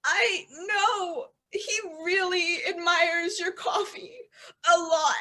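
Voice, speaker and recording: An embarrassed young housewife in a vintage radio commercial skit. She speaks slowly in an extremely high, whiny voice, halting and tearful with melodramatic insecurity. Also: steady tone, sharp tone, exaggerated voice. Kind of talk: 1950s-style radio commercial skit acting.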